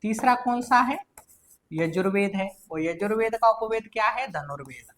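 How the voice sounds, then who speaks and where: A woman's voice: mid-range voice, lively intonation, moderate pace, slight background noise. A woman with an Indian accent teaching an exam-prep lesson, repeating key points and answering her own questions in a didactic way.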